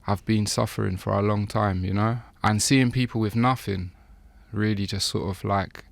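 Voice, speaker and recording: A man giving an interview, talking in a relaxed, rambling way with frequent laughs. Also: fairly low pitch, normal speed, vocal fry, no echo. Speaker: interview guest, chef. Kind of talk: casual interview talk.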